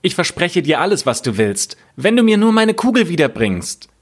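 Man putting on a young, lively fairy-tale princess. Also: high voice, moderate pace, voice pushed up. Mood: eager promising. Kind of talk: storybook character voice.

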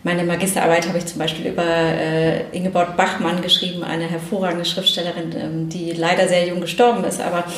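A woman's voice: lowish voice, little pitch movement, fairly quick, few pauses. A woman press spokesperson answering interview questions thoughtfully, with frequent fillers. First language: German. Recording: echoey room.

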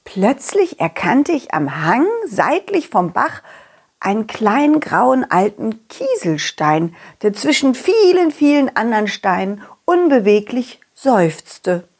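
A woman voicing a confident, loyal dog who tells an adventure to children in an expressive, chatty way. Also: on the high side, takes it slowly, husky, exaggerated voice. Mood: curious, narrating. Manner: animated, expressive, addresses children directly. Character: confident, loyal, friendly talking dog telling his adventure. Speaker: puppeteer storyteller. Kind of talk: children's audio story, narrating to kids.

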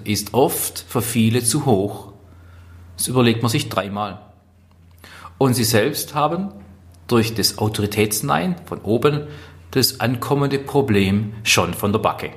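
A man with a Swabian accent speaks as a workplace trainer. His voice sits at an average male pitch. He phrases his points deliberately and leans on key words for emphasis. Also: fairly bright tone. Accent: Swabian German. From Swabia, southwest Germany. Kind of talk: instructional talk on workplace skills.

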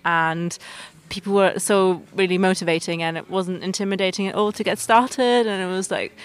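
A woman from Germany with a low, even, smooth voice. She answers casually and chats along, dropping in plenty of fillers. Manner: chatty, uses many fillers like "like". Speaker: festival participant.

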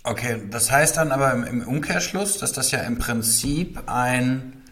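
A man's voice: medium pitch, a little slow, fairly bright tone. A man hosting a podcast, greeting and questioning his guest in a friendly way with some fillers. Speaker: podcast host. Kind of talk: podcast interview.

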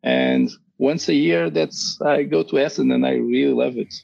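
A man's voice: mid-range voice, huge pitch swings, moderate pace, creaky, darkish tone, no room sound, lo-fi sound. A man with a dry throat, a board game designer chatting casually as a podcast guest, hesitant and often restarting his sentences.

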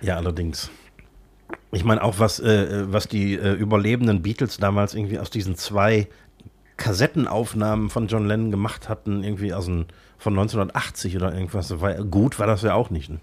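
A man's voice: lowish voice, steady tone, a little fast. A man co-hosting a podcast with a stuffy nose from a cold, chatting hesitantly with lots of filler sounds from a cold tent studio. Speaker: podcast co-host. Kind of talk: casual chat.

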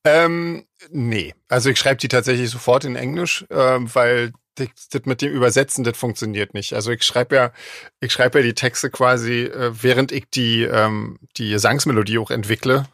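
A man's voice: mid-range voice, steady tone, moderate pace, fairly bright tone, dry recording, clean studio sound. A man, a singer and lyricist, chatting casually on a podcast, hesitant with lots of fillers and frequent laughs.

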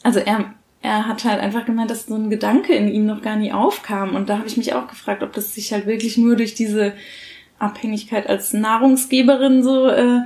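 A woman's voice: fairly high pitch, level tone, moderate pace, darkish tone. Chatty woman in casual conversation. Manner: colloquial, chatty. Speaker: podcast co-host. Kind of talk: casual conversation about parenting.

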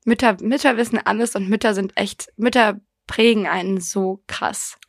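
A woman's voice: medium pitch, steady tone, moderate pace, vocal fry, dry recording, studio quality. A young woman co-hosting a podcast, chatting casually, blunt and swearing freely as she acts out quotes. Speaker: podcast co-host. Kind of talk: casual chat.

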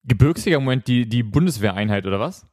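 A man's voice: mid-range voice, lively intonation, fast talker, a little mellow. A man hosting a podcast in a casual, chatty style. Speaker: podcast host.

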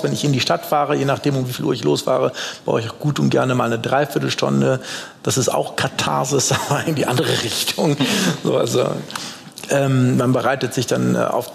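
Very gravelly man, halting, in echoing room. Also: mid-range voice. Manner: halting, repeats phrase openings, reflective. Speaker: panel guest. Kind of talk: casual live panel talk. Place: open design office event space. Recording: noisy, reverberant live event room.

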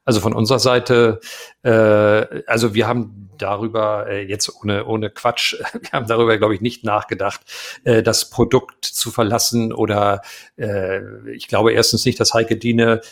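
Gravelly-voiced man chatting casually. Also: lowish voice, flat delivery, moderate pace. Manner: hesitant, many fillers, laughs easily. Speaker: radio play voice actor, guest. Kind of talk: casual interview chat.

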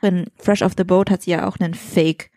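A woman's voice: on the low side, fairly even intonation, a little fast, dark tone, no room sound, studio quality. A woman interviewer speaking informally in a casual conversation, with colloquial phrasing.

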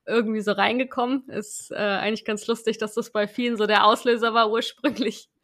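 Bright-voiced woman hosting a casual chat. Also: a little high, steady tone, a little fast. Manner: asks guests questions in a chatty, rambling way. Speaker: podcast host.

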